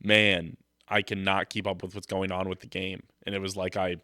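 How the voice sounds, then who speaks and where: A man's voice: low-pitched, flat delivery, normal speed, bright, forceful tone, no room sound, studio quality. A young man with a stuffed-up nose from a cold, hosting a casual sports podcast and rambling with jokes into his microphone. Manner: rambling, joking, loose. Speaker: podcast host.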